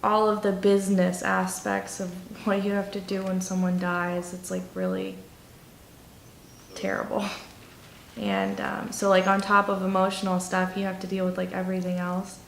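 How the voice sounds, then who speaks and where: A woman of twenty-three speaking candidly and haltingly about personal things in a documentary interview. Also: mid-range voice, creaky, a little hiss or hum. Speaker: documentary interviewee, nursing student. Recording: roomy echo with music behind. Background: instrumental music.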